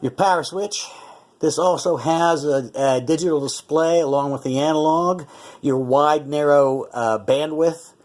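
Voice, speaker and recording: A man's voice: a little high, measured pace, darkish tone. A man giving a casual, explanatory product demonstration as a reviewer, conversational and often correcting himself. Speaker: radio reviewer.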